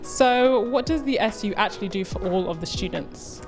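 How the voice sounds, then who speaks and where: A woman interviewing a student, asking quick questions with brief, friendly replies like "nice". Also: mid-range voice, soft background music.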